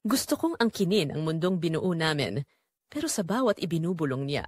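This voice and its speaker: Female Filipino narrator for first-person audio drama; lively, varied intonation, very dry close sound. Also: sits low, brisk, breathy edge, no room sound.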